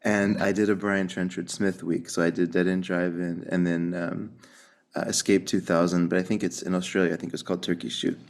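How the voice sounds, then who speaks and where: A man hosting a film podcast, his voice sitting deep and low. He talks casually and eagerly, full of curious questions and quick asides. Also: flat delivery. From United States. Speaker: podcast host. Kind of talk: casual interview chat.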